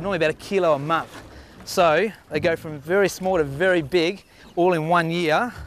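A middle-aged Australian man with a fairly high voice hosts from a small boat out at sea. He chats easily and explains his tips straight to camera, with faint engine and water noise behind him. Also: fairly bright tone. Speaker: fishing show host. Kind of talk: casual on-water fishing commentary.

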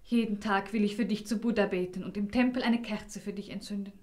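An actress playing a gentle, sorrowful young princess in a fairy-tale audio drama, earnest and plaintive. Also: female voice, medium pitch, steady tone, fairly quick, close to an everyday voice. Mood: moved, grateful.

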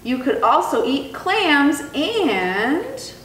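A woman's voice: high-pitched, very animated, very slow, a bit bright, distant mic, heavily acted. A woman voicing an eager, helpful beetle in a children's storybook, in a sing-song manner. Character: eager beetle offering advice to a turtle. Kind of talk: expressive children's storybook character reading. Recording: roomy sound with faint noise.

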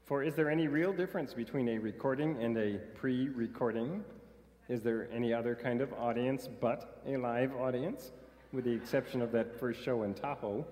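A man's voice: mid-range voice, moderate pace, a little mellow, big-room sound, lightly acted, rough recording. A man playing a pompous pedantic stickler. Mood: prissy. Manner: precise, pompous, lecturing. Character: fussy pedantic male language stickler. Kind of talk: radio comedy acting before a live audience.

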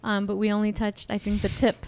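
A woman's voice: medium pitch, completely flat delivery, brisk, a little hiss or hum, rough recording. A woman from the United States hosting a podcast in casual chat, chatty and encouraging. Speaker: podcast host.

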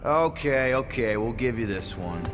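A man's voice: medium pitch, expressive, measured pace, over music, close to an everyday voice. A man playing an easygoing, roguish team leader in a game, casual and wry. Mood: wry, pleased.

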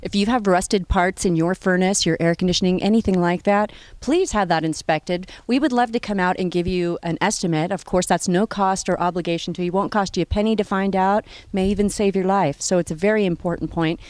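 A woman's voice: fairly low pitch, fairly quick, hardly any pauses, a little mellow, no echo. Upbeat saleswoman interviewing outdoors. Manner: upbeat, salesy, upward lifts on questions. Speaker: company host interviewing a customer. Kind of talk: promotional testimonial interview. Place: outdoors in front of a house. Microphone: handheld mic.

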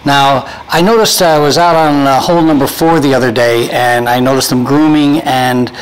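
A man's voice: mid-range voice, fairly even intonation, normal speed, clear, resonant tone, rough recording. A man hosting an informal video update, interviewing and narrating as he goes. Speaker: host and interviewer. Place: outdoors on a golf course under construction.

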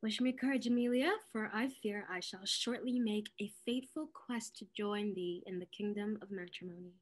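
A woman playing a witty, sharp-tongued young lady in a classical stage comedy, arch and mocking. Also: medium pitch, moderate pace, natural voice. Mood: wry, dramatic. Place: indoors at home, on a video call.

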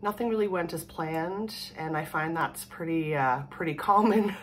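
Low, hoarse woman chatting casually. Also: moderate pace, a little hiss or hum. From Canada. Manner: rambling, chatty, laughs often. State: hoarse from a cold. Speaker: vlogger. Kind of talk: casual chat to camera. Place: small room at home, indoors. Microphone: camera in front at desk distance.

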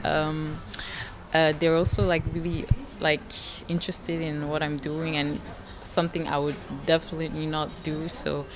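Low-voiced young woman, hesitant, music behind. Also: little pitch movement, normal speed. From Belgium. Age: young adult. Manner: hesitant, many fillers and "like". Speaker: pageant contestant. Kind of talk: casual interview answers. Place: indoor lounge on a sofa. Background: background music.

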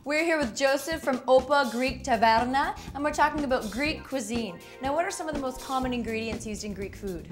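Young woman hosting, higher-pitched voice. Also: soft background music. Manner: friendly, questioning, quick reactions. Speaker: show host interviewer. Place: studio kitchen set.

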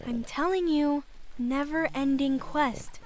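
A woman's voice: sits high, slow, a little mellow, a little hiss or hum, poor audio. An actress playing a polite but wry young twin girl in an audio drama.

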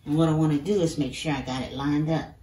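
Woman, middle-aged, deep voice, casual step-by-step DIY explanation, echoey workshop. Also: fairly even intonation, quick pace, dark tone, a little hiss or hum. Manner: chatty, explains each step, says "y'all". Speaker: DIY woodworking creator. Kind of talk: casual how-to narration while building. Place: home workshop, indoors.

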